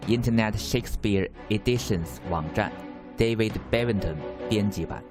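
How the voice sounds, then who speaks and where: A man's voice: fairly low pitch, moderate pace, over music. A man reading a scripted summary and credits as a narrator.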